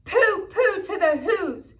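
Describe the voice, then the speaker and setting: A sly male storybook monster, acted out by a woman with a high voice. He is grumpy and gloating, with a slick, falsely sweet manner. The words come slowly, drawn out for effect. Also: expressive, a bit bright, exaggerated voice. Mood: gleeful gloating. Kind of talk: storybook character voice.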